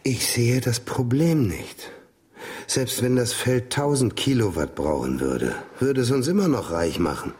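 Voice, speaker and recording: A man's voice: mid-range voice, lively intonation, unhurried, a little mellow, close to an everyday voice. An actor playing a cold, calculating businessman who narrates a radio drama in a dry, matter-of-fact, sardonic way. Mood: dismissive. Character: cold, calculating businessman recounting his scheme. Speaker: narrator and lead character.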